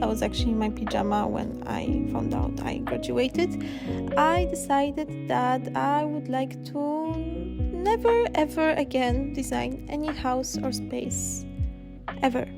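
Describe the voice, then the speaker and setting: A woman's voice: high voice, vocal fry. A young woman with a Polish Slavic accent, an art teacher giving a casual, chatty voice-over for a painting video. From Poland. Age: young adult.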